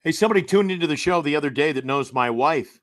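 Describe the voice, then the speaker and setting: Male co-host, slightly high voice, bright, wry and questioning, dry sound. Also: lively intonation, fast, no echo. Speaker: sports talk co-host. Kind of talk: casual live-stream sports chat.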